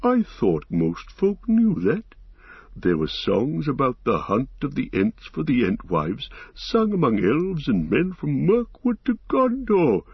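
Ancient male tree giant by a male reader, ponderous, husky, dull dry recording. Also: mid-range voice, huge pitch swings, no room sound, heavily acted. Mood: insistent. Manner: unhurried, ponderous, musing.

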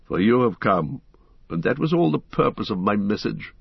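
A man voicing a proud, scornful old male wizard who speaks in a slow, sneering way. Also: on the low side, sing-song, creaky, breathy edge, no room sound, dull audio, full character voice.

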